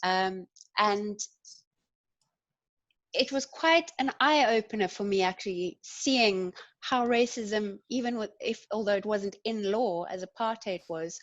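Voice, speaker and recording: A woman's voice: mid-range voice, takes it slowly, no room sound, lo-fi sound. A middle-aged woman from South Africa with a South African accent, giving a thoughtful account as a panel guest. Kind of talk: reflective personal account.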